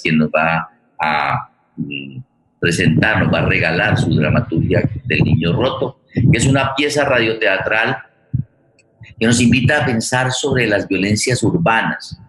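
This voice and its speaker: Colombian man, low-pitched voice, reflective halting delivery, forum host, echoey room. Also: fairly bright tone. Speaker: host and theater director. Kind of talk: unscripted spoken introduction to a forum. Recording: roomy, echoey sound.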